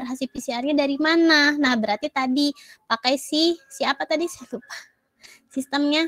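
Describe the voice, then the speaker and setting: A high-pitched woman talks through her lecture in a loose, chatty way, mixing English terms in among many fillers. She is getting over a cough, and her voice sounds dry and close to the mic. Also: fairly bright tone, no echo. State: coughing, recovering from a cold. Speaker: university lecturer. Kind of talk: casual online lecture.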